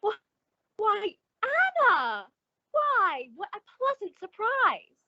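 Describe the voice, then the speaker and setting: Awkward polite lady on a call, slow, very up and down, old radio drama, dull sound. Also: extremely high pitch, big pitch swings, bright, forceful tone, dry recording, heavily acted. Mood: awkward, surprised. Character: politely uneasy old acquaintance, a woman. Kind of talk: old-time radio suspense acting.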